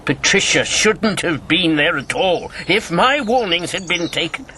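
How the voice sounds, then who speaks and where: A man's voice: high voice, lively intonation, pushed and bright, a little hiss or hum, exaggerated voice. An actor in a radio drama playing an embittered, grieving old man, once a wartime secret agent, speaking with weighty deliberation. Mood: haunted, sombre. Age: 70s. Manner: slow, weighty reminiscing with bitter outbursts.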